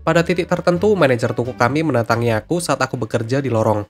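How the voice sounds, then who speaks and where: A man's voice: medium pitch, fast talker. A man reading out scripted stories as a narrator, with music behind him.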